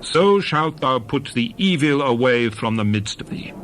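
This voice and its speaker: A man's voice: mid-range voice, animated, unhurried, a bit bright, a little hiss or hum. A man giving a dramatized scripted reading of scripture as narrator, in a solemn, declamatory and emphatic manner.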